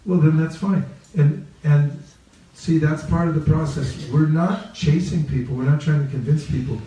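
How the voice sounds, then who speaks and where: Echoey, mellow-voiced man teaching a group. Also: a little high, moderate pace. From California. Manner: playful, asks the audience questions and repeats key phrases. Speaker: religious teacher leading a training talk. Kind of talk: interactive seminar teaching. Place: reverberant room with a live group. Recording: echoey room, picked up from a distance.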